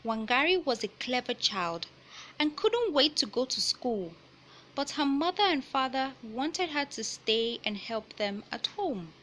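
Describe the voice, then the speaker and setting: A woman reading a children's picture book aloud in a deliberate, teacherly way, pausing to explain and repeat key points. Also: high voice, big pitch swings, a bit bright, slight background noise. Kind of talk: read-aloud storytelling with explanatory asides.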